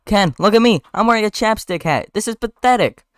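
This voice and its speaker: A man's voice: sits high, animated, brisk, clear, resonant tone, no room sound, clean studio sound, pitched above the performer's own voice. A man voicing a small, upbeat, wisecracking bee with a breezy, needling manner. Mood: pleading, scornful.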